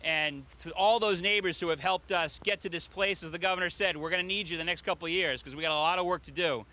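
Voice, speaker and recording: A man with a fairly high, bright voice hosts an outdoor public ceremony. He introduces speakers in a loose, folksy, joking way, as a government official speaking off the cuff. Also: fast. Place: outdoor public event in rain.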